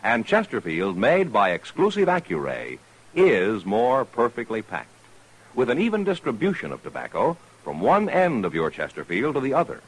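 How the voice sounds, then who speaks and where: Hugely animated American radio ad man. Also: medium pitch, moderate pace, husky, low-quality recording. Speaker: commercial announcer. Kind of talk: 1950s radio cigarette advertisement.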